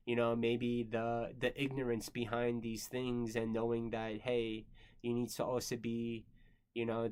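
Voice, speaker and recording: Rambling man in his thirties, flat delivery. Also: mid-range voice. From Philippines. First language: not English. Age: 30s. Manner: rambling, filler-heavy, frequent "you know" and "um"; frank, casual swearing. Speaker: creator answering viewer questions. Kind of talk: casual personal monologue.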